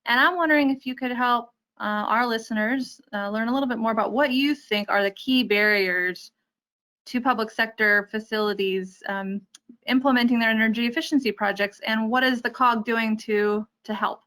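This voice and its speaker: A woman's voice: a little high, normal speed, fairly bright tone. A woman hosting an interview, asking questions in a friendly way with frequent fillers.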